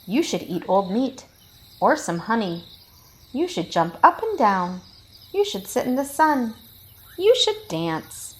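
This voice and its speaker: A woman's voice: fairly high pitch, big pitch swings, slow, voice pushed up. A woman voicing cheerful, well-meaning jungle animals in a storybook, coaxing and encouraging.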